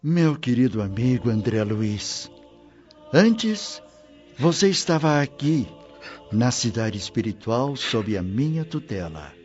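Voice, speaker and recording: A man's voice: mid-range voice, very animated, darkish tone. A man playing a benevolent spirit mentor in a radio drama, speaking with formal, solemn dignity. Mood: solemn, affectionate. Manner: solemn, formal, measured proclamation. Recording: dull lo-fi audio with music behind. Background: clear background music.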